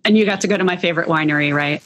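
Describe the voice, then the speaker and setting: A woman's voice: lowish voice, rapid-fire, fairly bright tone, slight background noise. A woman interviewer in casual chat, encouraging her guest and asking follow-up questions.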